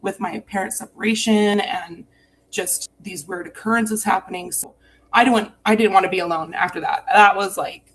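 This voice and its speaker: A woman's voice: mid-range voice, fairly even intonation, normal speed, a little hiss or hum, rough recording. A woman from Utah telling a personal ghost story in an earnest, candid, reflective way.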